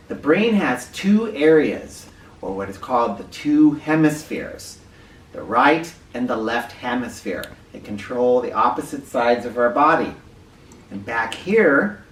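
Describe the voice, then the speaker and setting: A man hosting an educational read-aloud for children, speaking directly to them with clear emphasis and questions. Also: medium pitch. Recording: roomy, distant mic with faint noise.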